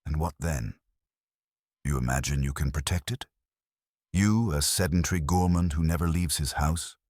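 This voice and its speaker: A man's voice: rumbling low, moderate pace, a little mellow, no room sound, studio quality. A man voicing a proud, furious male schemer in a narrated mystery, barking and sneering with defiance. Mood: scornful, furious.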